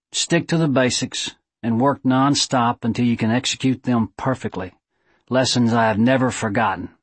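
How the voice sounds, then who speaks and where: A man voicing an aging, earnest small-town judge with a squeaky voice and a thick Southern drawl, giving an audiobook eulogy. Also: husky, no echo, close to an everyday voice. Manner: drawling, oratorical.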